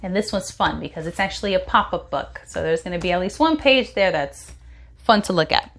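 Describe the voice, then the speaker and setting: A woman's voice: fairly low pitch, animated, fairly quick, fairly bright tone. A woman casually hosting a children's story time as a mother introducing her young reader, speaking to young viewers. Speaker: host, mother introducing her daughter's read-aloud.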